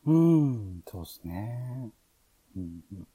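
A man's voice: on the low side, sing-song, takes it slowly, dark tone, breathy edge. A man hosting a podcast, speaking casually in a planning discussion. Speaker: podcast host.

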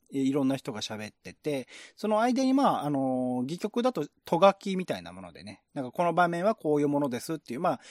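Male host, lightish pitch, highly animated, dry close sound, conversational explainer who checks in with listeners. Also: dry recording. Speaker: host and reader of a radio-drama reading show. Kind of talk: casual explanatory discussion.

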